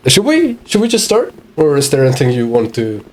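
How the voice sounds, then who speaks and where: A man from Austria whose first language is German, hosting a casual online interview. He talks haltingly, laughs often, and has a cough. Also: mid-range voice, wildly swooping pitch, a little mellow, a little hiss or hum. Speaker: interviewer and channel host.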